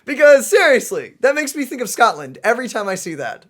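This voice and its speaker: Let's play co-host: animated, mid-pitched, casual and questioning, gets flustered easily. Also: brisk. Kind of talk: casual gameplay commentary chat. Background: game music.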